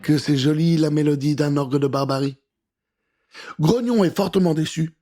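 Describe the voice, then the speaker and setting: A man narrating a scripted children's adventure story with an expressive, dramatic delivery. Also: fairly high pitch, normal speed. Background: music.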